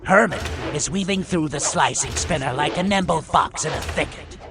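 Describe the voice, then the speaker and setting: A man's voice: fairly high pitch, normal speed, over music, pitched above the performer's own voice, lightly acted. A man voicing a gleeful, taunting goblin game-show announcer with showy, dramatic delivery in a fantasy audiobook. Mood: showy, excited.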